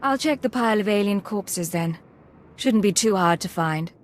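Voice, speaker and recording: A woman's voice: mid-range voice, animated, moderate pace, close to an everyday voice. A woman playing a businesslike intelligence agent in a video game, composed, clipped and controlled. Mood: cold, commanding. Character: cool, businesslike female imperial intelligence agent.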